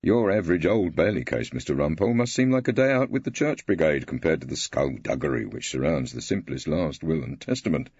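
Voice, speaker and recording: A lugubrious, polite older gentleman solicitor in an audiobook, voiced by a male reader. He speaks in measured, formal sentences with a husky tone. The recording is dry and a little dull. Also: very deep voice, animated, no echo, full character voice. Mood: grave, eloquent.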